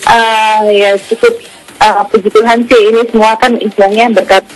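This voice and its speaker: A woman's voice: fairly high pitch, little pitch movement, moderate pace, low-quality recording. A young woman from Surabaya, a girl band singer, answering radio interview questions politely with many hesitant fillers. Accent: Surabaya Javanese. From Surabaya, Indonesia. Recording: radio broadcast with faint music.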